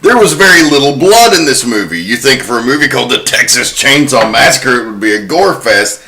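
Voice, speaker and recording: A man's voice: mid-range voice, big pitch swings, a little fast, sharp tone, a little hiss or hum. A man hosting a podcast, giving prepared commentary on film history. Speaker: podcast host.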